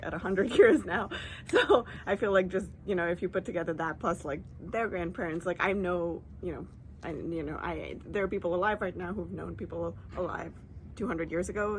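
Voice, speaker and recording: A young woman in her late twenties, talking casually and loosely with lots of filler words and quick laughs. Her voice sits a little low for a woman. A faint hiss of noise runs underneath. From Dubai. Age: 20s. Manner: chatty, many filler likes and ums, laughs easily. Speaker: interviewee. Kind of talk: casual conversation. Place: lives in San Francisco.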